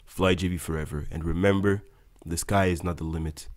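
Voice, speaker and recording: A man's voice: low-pitched, steady tone, fairly quick. A young man, an airline pilot, narrating a scripted voice-over in an upbeat, explanatory way to his viewers. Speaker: airline pilot and video host. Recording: voice-over with loud background music.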